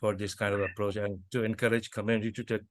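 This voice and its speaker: A man's voice: lowish voice, little pitch movement, fast, no echo, clean studio sound. A man from Bangladesh, making points on a workshop video call and often hesitating and restarting. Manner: hesitant, many fillers and restarts. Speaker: workshop participant.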